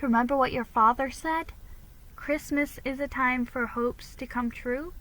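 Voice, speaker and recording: A woman voicing a kind, understanding, gently firm female confidante in a storybook, speaking in an encouraging way. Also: high voice, a little slow, pitched above the performer's own voice, poor audio. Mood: gentle, encouraging.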